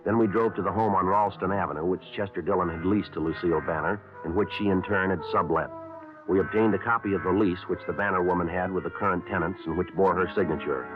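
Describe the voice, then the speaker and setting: An actor playing a terse police sergeant narrating in a clipped, deadpan way, in a 1950s radio drama. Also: male voice, low-pitched, fast talker, lightly acted. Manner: clipped, deadpan, flat delivery. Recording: tinny old radio broadcast with music.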